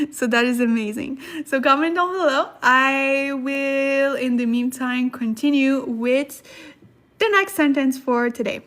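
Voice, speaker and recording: A woman's voice: sits high, slow pace, a bit bright. A woman hosting a casual language study livestream, chatting with viewers in a giggly way and repeating herself for emphasis. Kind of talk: casual livestream chat and lesson, reading viewer comments.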